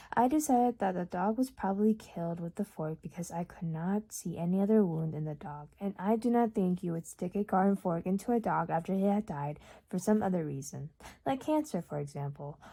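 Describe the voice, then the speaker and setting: A young woman whose first language is Urdu reads a first-person novel aloud at a middling pitch. Her delivery is calm and measured. At times it sinks into soft, near-whispered passages. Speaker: audiobook reader. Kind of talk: audiobook narration.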